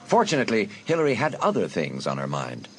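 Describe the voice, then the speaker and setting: A man narrating an audiobook drama in a measured, suspenseful way. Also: fairly low pitch, wildly swooping pitch, slight background noise. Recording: narration over a music bed.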